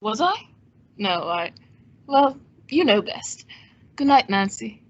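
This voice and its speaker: A woman's voice: mid-range voice, expressive, takes it slowly. A woman playing a suave, wisecracking male adventurer-detective in an old-time radio drama, with a wry, composed, teasing manner. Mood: smooth, teasing. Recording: dull, muffled lo-fi sound.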